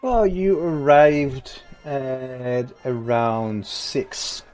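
A young man narrating a tabletop horror game as game master, slow and halting with pauses. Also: mid-range voice, a little mellow, faint music behind.

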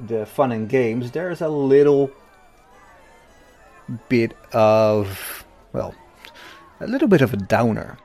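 A young man narrating a tabletop horror game as game master, slow and halting with pauses. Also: medium pitch, vocal fry, darkish tone.

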